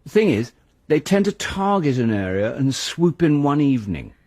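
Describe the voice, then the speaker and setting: Man putting on a chirpy, high-pitched Neighbourhood Watch busybody. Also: big pitch swings, moderate pace, no echo. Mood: chirpy, officious. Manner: polite, over-bright, persistent.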